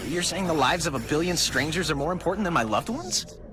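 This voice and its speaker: A male actor voices a young wisecracking game superhero, pitched high and swinging widely in tone. He is quippy and anxious, with a guilty, devoted streak. Music plays clearly behind him. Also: animated, brisk, lightly acted. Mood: somber, guilty. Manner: quippy, sarcastic. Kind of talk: video game voice acting.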